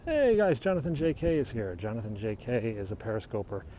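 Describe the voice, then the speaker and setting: A man's voice: mid-range voice, sing-song, fairly quick, mellow. A middle-aged man from the United States, chatting casually on a live stream and answering viewers' questions as he walks outdoors, filmed on a handheld phone. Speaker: livestreamer. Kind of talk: casual live-stream chat while hiking. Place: outdoors on a hilltop walk. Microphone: phone held while walking. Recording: noisy outdoor phone recording.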